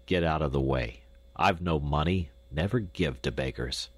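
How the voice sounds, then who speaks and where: Gruff old doctor voiced by a man, very deep, curt, stern. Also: normal speed.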